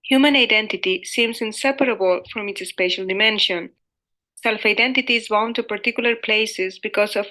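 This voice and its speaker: A woman's voice: mid-range voice, sharp tone. A woman from Spain, a native Spanish speaker, reading a scripted conference paper in a formal, careful way as an academic researcher. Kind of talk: scripted conference presentation read aloud.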